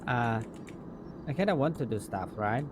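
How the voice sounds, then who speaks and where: A man chatting casually in character during online roleplay, rambling and halting, with casual swearing. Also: medium pitch, animated. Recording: loud car engine noise behind.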